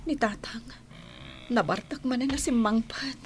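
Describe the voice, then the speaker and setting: An actress with an Ilocano accent playing a sickly, meek young daughter in a radio drama, in a weak, subdued way. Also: female voice, fairly high pitch, measured pace, noisy background, lightly acted. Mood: meek, anxious.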